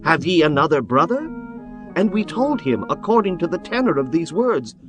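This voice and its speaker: A man's voice: a little high, big pitch swings, normal speed. A man voicing Judah, a resolute and persuasive brother, in a dramatized scripture reading. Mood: earnest. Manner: earnest, persuasive. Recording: music bed behind voice.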